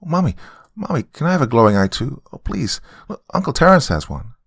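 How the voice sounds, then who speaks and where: A man voicing an excitable, curious little girl with a pouty, pleading manner, in a dramatic audio story. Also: medium pitch, a little fast, vocal fry, husky, no room sound, clean studio sound, voice pushed up, heavily acted. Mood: excited.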